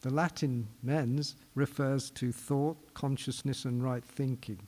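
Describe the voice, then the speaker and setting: A middle-aged man from England giving a measured public lecture as a psychiatrist, posing questions and restarting phrases as he explains. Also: mid-range voice, fairly even intonation, a little mellow, big-room sound. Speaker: psychiatrist giving a forum talk. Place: conference hall.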